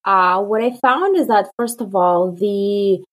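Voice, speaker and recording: A woman's voice: medium pitch, slow pace. A woman from Kazakhstan with a Kazakh accent, a native Russian speaker, talking casually as a marketing guest on an interview, with plenty of "kinda" and "like". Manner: relaxed, many fillers like "kinda" and "like".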